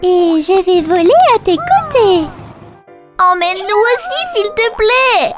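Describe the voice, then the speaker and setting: Someone voicing a very high, plucky cartoon little girl. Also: animated, slow, full character voice. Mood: eager. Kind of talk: children's cartoon rhyming dialogue. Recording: music behind.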